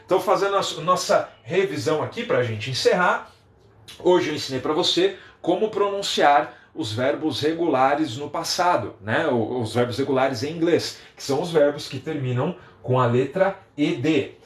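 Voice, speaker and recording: Animated Brazilian man teaching online. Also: a little high, normal speed, roomy sound. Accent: Brazilian Portuguese. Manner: lively, animated, reads out viewer comments. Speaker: English teacher. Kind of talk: live-stream lesson and chat.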